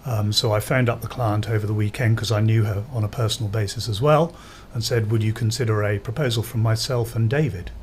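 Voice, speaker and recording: A man's voice: lowish voice, brisk, few pauses, slight background noise. A man guesting on a podcast, telling his story casually with frequent ums. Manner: relaxed, hesitant with ums. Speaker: podcast guest, business consultant.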